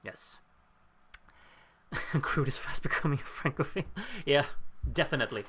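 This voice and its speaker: A man's voice: on the high side, big pitch swings, a little slow, pushed and bright, slightly rough. A man hosting a live stream, casually commenting on voting results and reading out viewer names with wry jokes, his voice a bit worn. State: losing his voice. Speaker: livestream host.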